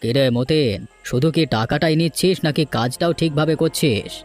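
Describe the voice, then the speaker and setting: A man voicing a scheming, bossy older village headman in an animated folk tale, with a brusque, dismissive manner. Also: fairly high pitch, normal speed, soft background music, natural voice.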